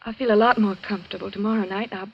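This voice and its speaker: A high-strung, fearful woman in an old radio drama. Her delivery is halting and pleading, with a fragile edge. The dull vintage recording softens her medium-pitched voice. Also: steady tone, vocal fry, breathy edge. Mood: anxious. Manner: hesitant, stammering, pleading. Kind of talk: 1940s radio drama acting.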